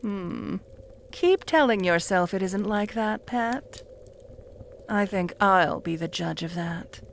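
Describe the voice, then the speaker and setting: Possessive vampire mistress, voiced by a woman, mocking and cruel, unhurried delivery, mid-pitched, breathy whispers. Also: lively intonation, slight background noise. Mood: jealous, possessive. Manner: slow, purring, often dropping to a whisper; taunting, with soft mocking laughs. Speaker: voice actor in audio roleplay. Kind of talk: audio roleplay addressed to the listener.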